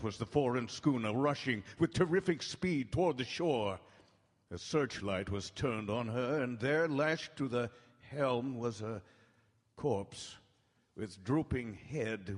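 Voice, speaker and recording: Slow, ominous gothic storyteller. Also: male voice, mid-range voice. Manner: deliberate, suspenseful, long pauses. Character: solemn, ominous storyteller of a gothic horror tale. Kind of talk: dramatic scripted radio narration. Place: town hall stage. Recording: echoey live hall.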